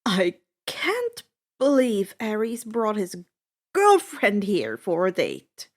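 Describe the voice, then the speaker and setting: A woman's voice: mid-range voice, huge pitch swings, takes it slowly, heavily acted. A woman voicing a sarcastic, irreverent young boy hero in a story, with a cheeky, casual, incredulous manner. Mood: dismissive.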